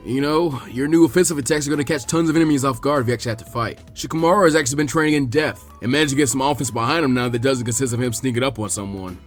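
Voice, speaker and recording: A man voicing an easygoing, teasing adult ninja teacher with a relaxed, encouraging manner in a story reading. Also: medium pitch, very fast, few pauses, soft background music, voice pushed down, close to an everyday voice.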